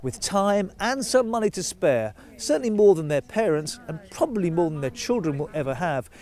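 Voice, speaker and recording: Man with a bit higher voice narrating, really expressive up and down. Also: moderate pace. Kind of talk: scripted narration.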